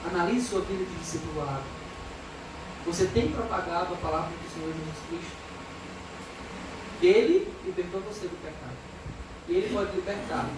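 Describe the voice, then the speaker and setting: A woman with a Brazilian Portuguese accent preaching an expository sermon, earnest and emphatic, reading scripture aloud. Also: medium pitch. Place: church hall. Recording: echoey church hall from far off, background noise.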